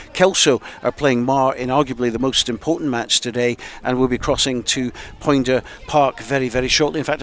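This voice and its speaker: A man presenting a live sports radio programme, linking between reports and fixtures. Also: medium pitch. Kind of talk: live sports broadcast links. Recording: faint background noise at ground.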